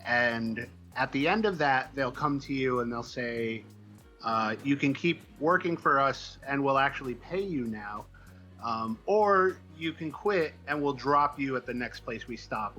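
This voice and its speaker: An American man with a bright, forward voice talks as a guest in a casual interview. He tells stories in a halting, wandering way at a middle pitch. There is some music behind him. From Midwestern United States. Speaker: comic book writer, interview guest. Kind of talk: casual conversational storytelling.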